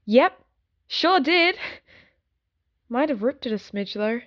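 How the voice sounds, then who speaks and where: A woman plays a young female werewolf newcomer in an audio drama, curious and informal. Her voice is high and very lively in pitch, unhurried, on a slightly dull recording. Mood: breezy. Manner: breezy, inquisitive, informal.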